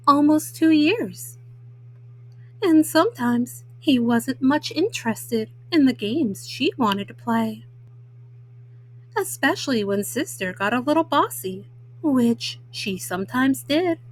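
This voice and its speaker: A woman reading a children's picture book as a storytime host, with measured, child-directed delivery. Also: high voice, lively intonation, poor audio.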